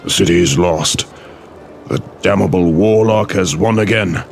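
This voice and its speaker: A man playing a grave, noble, weary human knight commander in a fantasy game cutscene, solemn and gravelly. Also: faint music behind. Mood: grim. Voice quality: low, gravelly. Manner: slow, solemn, burdened.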